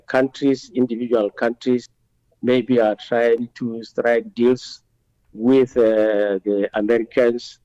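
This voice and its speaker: A man with a Botswanan accent, answering as a politician in an interview in a halting, deliberate way, with many hesitations. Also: fairly low pitch, warm tone, no room sound, dull audio. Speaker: member of parliament being interviewed.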